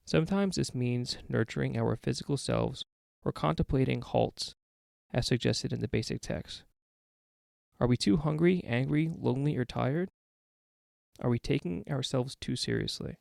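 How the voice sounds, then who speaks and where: A man with a gravelly voice reading a daily meditation aloud for a podcast. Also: mid-range voice, darkish tone, no echo, studio quality. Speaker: guest reader of a recovery podcast.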